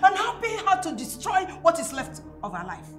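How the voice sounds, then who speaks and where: A woman's voice: extremely high pitch, wildly swooping pitch, normal speed, heavily acted. An actress playing a domineering, greedy middle-aged mother with a Nigerian accent in a screen melodrama, scolding and confrontational. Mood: furious, scolding. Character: domineering, greedy, quarrelsome mother of a grown daughter. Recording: roomy sound with background music.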